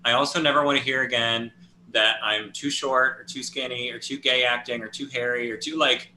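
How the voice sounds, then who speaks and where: Bright, chatty man interviewer. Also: mid-range voice, fairly quick, slight background noise, rough recording. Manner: chatty, lively, laughs. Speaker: interviewer, host. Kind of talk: casual interview talk.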